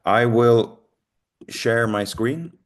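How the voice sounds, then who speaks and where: A man's voice: mid-range voice, extremely slow. A middle-aged Swedish man with a Swedish accent, speaking English as a second language, giving a recorded lecture with frequent ums and uhs. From Sweden. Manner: hesitant, many ums and uhs, self-deprecating.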